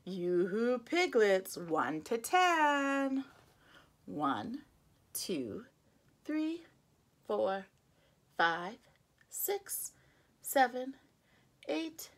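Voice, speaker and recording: A woman preschool teacher reading a picture book aloud to young children, with a playful sing-song delivery, gasps and slow counting. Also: fairly high pitch, sing-song.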